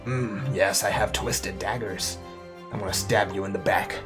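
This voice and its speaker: A man putting on a deep, gravelly voice as a smug, sinister male thief with an eye patch and twisted daggers, over a bed of music. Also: steady tone. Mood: smug menacing. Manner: slow, smug and menacing. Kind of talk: let's play commentary bit.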